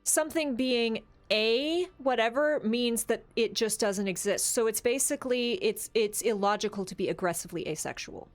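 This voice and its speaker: High-voiced woman chatting about tabletop games. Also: normal speed, hardly any pauses, faint music behind. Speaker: podcast co-host. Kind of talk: casual discussion of tabletop gaming.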